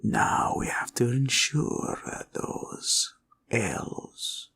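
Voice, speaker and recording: Slow, gravelly dragon villain. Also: male voice, mid-range voice, sing-song, fairly bright tone, whispered, heavily acted. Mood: pleased, scheming. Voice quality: gravelly, husky, breathy growl. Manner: slow, drawling, taunting, archaic turns of phrase. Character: sly, menacing, greedy male green dragon villain. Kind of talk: fantasy roleplay ASMR.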